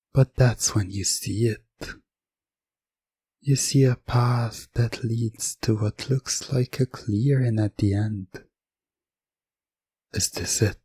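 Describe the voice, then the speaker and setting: Male hypnosis storyteller: low, dark-toned, suggestive, with dramatic pauses and a close, dry sound. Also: breathy edge, no echo. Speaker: hypnosis narrator. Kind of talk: scripted second-person hypnosis ASMR storytelling.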